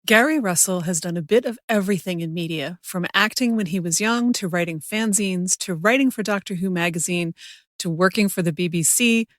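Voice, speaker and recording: A woman's voice: lowish voice, fairly quick, dry recording, studio quality. A woman hosting a creativity podcast, speaking in a scripted, presenter style. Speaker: podcast host and creativity coach. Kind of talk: scripted podcast introduction and interview.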